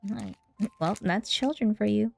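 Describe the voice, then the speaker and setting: A woman's voice: mid-range voice, measured pace, gravelly, a little mellow. A woman giving casual commentary as she streams a video game. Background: game music.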